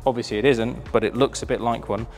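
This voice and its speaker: A man's voice: medium pitch, steady tone, quick pace, a little mellow, faint music behind. A man, chatty and enthusiastic, presenting a car auction preview in a large warehouse showroom. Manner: enthusiastic, chatty, filled with ums.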